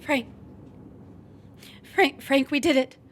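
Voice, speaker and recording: A cool, scheming wife acted by a woman in a vintage radio noir. The voice sits slightly high and plays at innocence, with faint noise behind it. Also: takes it slowly. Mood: tense. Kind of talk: 1940s radio acting.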